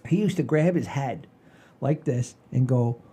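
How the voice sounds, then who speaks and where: An older man hosting a podcast, telling stories in a folksy, rambling, animated way with a husky voice. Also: medium pitch, a little slow. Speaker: podcast host. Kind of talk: casual chat and storytelling.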